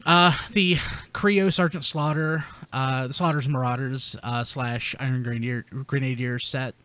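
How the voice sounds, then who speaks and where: A man's voice: mid-range voice, fairly even intonation, measured pace, fairly bright tone, clear, resonant tone. A man hosting a casual chat podcast, rambling and correcting himself as he goes. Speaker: podcast host. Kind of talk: casual chat about toy collecting news.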